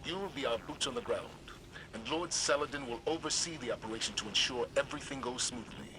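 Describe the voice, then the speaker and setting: An actor playing a composed, earnest military commander, speaking in a formal, measured way in a game briefing. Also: male voice, medium pitch, noisy background, natural voice. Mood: measured, serious. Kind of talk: video game mission briefing.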